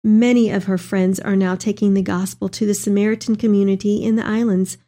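A woman playing an elderly, devout mother reading her tender letter aloud in an expressive, affectionate dramatic reading. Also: mid-range voice, fairly quick, natural voice. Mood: fond, joyful.

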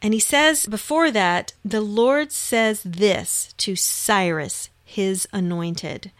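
A woman's voice: medium pitch, takes it slowly, no echo. A woman co-hosting a radio show, talking about scripture in an earnest, reflective, conversational way. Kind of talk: conversational Bible discussion on radio.

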